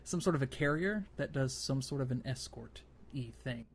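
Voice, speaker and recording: A man's voice: medium pitch, expressive, moderate pace, a loud crowd. A man hosting a gaming podcast, explaining things casually in a loose, hesitant way. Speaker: podcast host.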